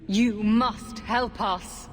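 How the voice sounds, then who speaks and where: An actress playing a determined, haughty warrior commander in a fantasy game, stern, imperious and insistent. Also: female voice, a little high, fairly even intonation, very slow, a little hiss or hum. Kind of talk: dramatic fantasy game acting. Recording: long stone-hall reverb.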